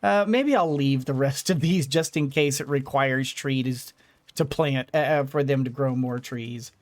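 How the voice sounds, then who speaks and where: Mid-pitched, casual gaming commentator. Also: measured pace. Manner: rambling, thinking aloud. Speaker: gaming streamer.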